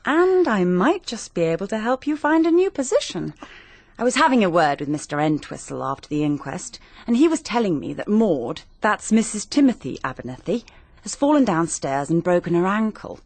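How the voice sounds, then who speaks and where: An actress playing a brisk, practical married Englishwoman in a British radio mystery drama, with an English accent and a crisp, businesslike manner. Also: medium pitch, big pitch swings, darkish tone, no echo. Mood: brisk, composed.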